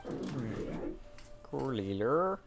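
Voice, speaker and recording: A man's voice: mid-range voice, big pitch swings, very slow, vocal fry, music in the background. A man streaming a video game, giving casual, easygoing commentary as he thinks aloud. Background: video game sounds.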